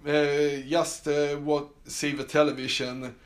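A man's voice: on the high side, flat delivery, takes it slowly. A man from Sweden with a Swedish accent, hosting a casual solo podcast from his summer house, talking with many fillers and restarts. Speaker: podcast host.

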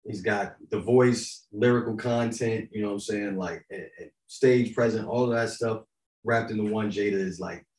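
A man from New York with a New York accent, chatting casually as a podcast guest with slang-filled, animated storytelling. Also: lowish voice, normal speed, reverberant, poor audio. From Yonkers, New York, United States. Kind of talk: casual conversation.

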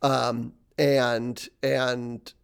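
A man's voice: medium pitch, little pitch movement, drawn-out words, vocal fry, clean tone. A man speaking thoughtfully and hesitantly in a casual faith discussion, with many fillers. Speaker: podcast co-host.

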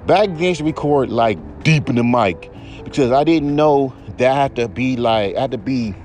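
Man, baritone, podcast rant, cursing, rambling, heated. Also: a little hiss or hum. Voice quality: deep baritone voice. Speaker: podcast host.

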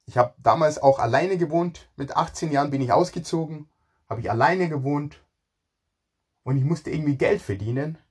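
Guy in his 40s, lively swoopy pitch, bit husky, telling his own story. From Ghana, moved to Germany at thirteen. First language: not German. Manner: animated, emphatic, repeats words for emphasis. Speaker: speaker telling own career story, cook. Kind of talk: informal personal storytelling to an audience.

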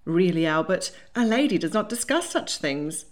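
A woman's voice: lowish voice, sing-song, normal speed. A woman voicing a spirited, polite young society lady in a storybook, proper and earnest. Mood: indignant, vexed. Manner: proper, earnest, indignant when teased.

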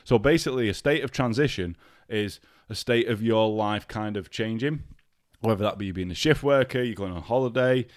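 A man's voice: on the low side, brisk, no room sound, clean studio sound. Congested man, chatty podcast host. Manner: chatty, swears freely, addresses listeners directly. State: ill and congested. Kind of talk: casual solo podcast chat.

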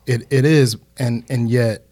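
A man's voice: mid-range voice, steady tone, measured pace, darkish tone. A man in his thirties in a casual group discussion, building reasoned points with frequent hedges. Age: 30s.

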